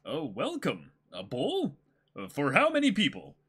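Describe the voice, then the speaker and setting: A man's voice: a little high, wildly swooping pitch, measured pace, a bit bright, slightly rough, full character voice. A man voicing a helpful, matter-of-fact shopkeeper from a comic, explaining things plainly to customers. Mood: businesslike.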